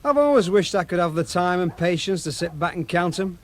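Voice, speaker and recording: A callous radio-play villain. His voice is high and swings animatedly. He chats casually, with mocking, false sympathy. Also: lively intonation. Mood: mock sympathy, menace. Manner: casual, mock-sympathetic, unhurried. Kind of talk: radio drama acting.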